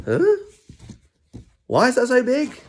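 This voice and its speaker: Man putting on an exasperated, high-pitched grumpy penguin. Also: very animated, breathy edge, exaggerated voice. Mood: confused. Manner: exclamatory, exasperated, drawn-out words. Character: grumpy, frantic penguin exclaiming in bewilderment. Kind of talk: children's storybook character voice.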